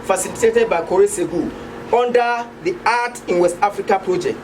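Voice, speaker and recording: Nigerian lady reading out an intro speech, mid pitched voice, kinda distant mic, noisy room. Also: normal speed. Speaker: project presenter.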